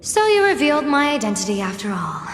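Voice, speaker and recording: A woman's voice: a little high, big pitch swings, fast talker, gravelly. A woman voicing a playful, all-knowing female strategist seer in a video game, with an echoing effect. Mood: breezy, knowing. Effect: echoing reverb. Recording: long echoey reverb, faint noise.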